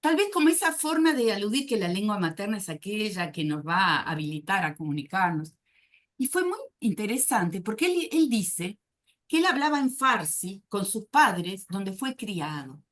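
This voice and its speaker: A woman psychoanalyst speaking on a conference panel, thoughtful and often pausing to search for words. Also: medium pitch, sing-song, fairly bright tone. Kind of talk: academic conference talk.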